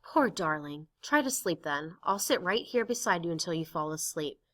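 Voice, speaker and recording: A tender middle-aged mother who has been hurt, as a woman reading a play puts her on. Her voice is bright and gentle, soft with wounded feeling. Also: on the low side, animated, moderate pace.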